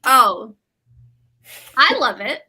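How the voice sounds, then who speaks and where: A woman's voice: on the high side, animated, extremely slow, pushed and bright, slightly rough. A woman in a casual book chat, talking emphatically and acting out her reactions as a panelist.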